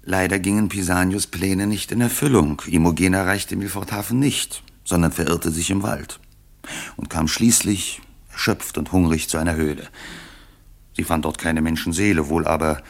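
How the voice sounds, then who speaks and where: A man narrating a radio drama as a scripted storyteller, composed and measured. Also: low voice.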